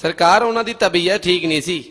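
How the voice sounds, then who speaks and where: Man as a glib, high-voiced cartoon courtier. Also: brisk, fairly bright tone. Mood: glib, reassuring. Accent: Pakistani Punjabi. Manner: glib, mock-deferential. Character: cheeky, quick-witted male courtier of the emperor. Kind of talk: comic cartoon dub. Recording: music under the voice.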